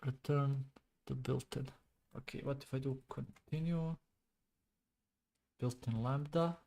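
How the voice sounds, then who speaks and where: A man thinking aloud while debugging code on a stream, halting and muttering to himself, often trailing off. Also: medium pitch, steady tone, gravelly, warm tone. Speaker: programming streamer.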